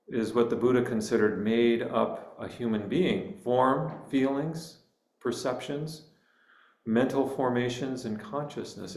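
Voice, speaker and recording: Male meditation guide. Very slow, gentle delivery with space between phrases, mid-pitched, recorded in an echoing room. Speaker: meditation teacher.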